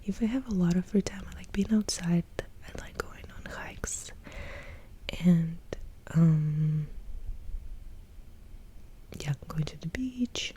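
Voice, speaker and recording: A young woman from Russia murmurs in a hushed, breathy half-whisper, right up against a sensitive microphone. Her voice is very dark and mellow, and she talks slowly and gently, like soft-spoken ASMR. A faint hiss sits under the voice. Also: lowish voice, poor audio. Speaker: ASMR creator. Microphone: close sensitive ASMR mic.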